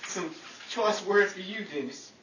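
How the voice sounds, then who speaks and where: A man's voice: high voice, unhurried, a bit bright. A man playing a skeptical, wisecracking bachelor friend with sardonic, incredulous quips, in a 1940s radio comedy style. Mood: needling. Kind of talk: 1940s old-time radio comedy acting. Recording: echoey, distant, noisy crowded recording.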